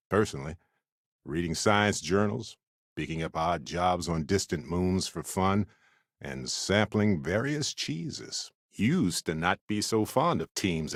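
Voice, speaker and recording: An actor playing an easygoing, good-hearted male space explorer in a video game, with a wry, chatty and playfully expressive delivery. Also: on the low side, no echo, clean studio sound. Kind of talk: video game character dialogue.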